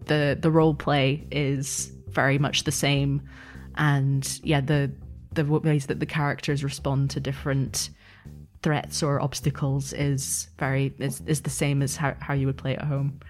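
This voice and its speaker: A woman's voice: very deep voice, level tone, measured pace, soft background music. A woman answering as a podcast guest and game master in a conversational, thoughtful, explanatory way.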